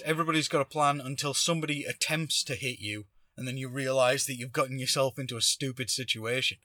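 A man hosting a podcast, telling a personal story in a casual, animated way with chuckles in his talk. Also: a little high, no echo, studio quality. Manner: animated, acts out shouted lines; chuckles while talking. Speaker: podcast host.

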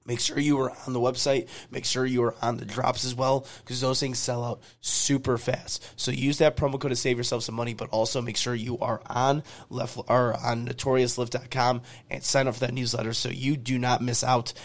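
Hoarse man hosting a podcast. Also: little pitch movement, moderate pace, few pauses. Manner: upbeat salesy pitch, repeats promo details. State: hoarse, losing his voice. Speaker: podcast host. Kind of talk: podcast intro and ad reads.

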